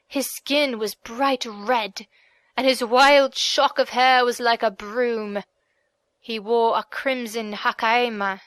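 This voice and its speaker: A woman narrates an audiobook tale in a scripted storytelling style. Her voice sits fairly high, and the recording is dry with no audible room. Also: fairly bright tone, dry recording.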